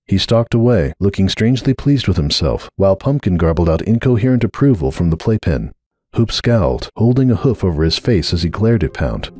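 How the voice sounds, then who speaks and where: Male storyteller, fairly low register, dry studio sound, expressive scripted narration for fiction. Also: brisk, no room sound.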